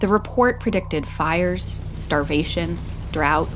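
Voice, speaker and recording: A woman narrating a documentary podcast from a script, in a clear, measured explaining tone. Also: on the low side, lively intonation, background noise.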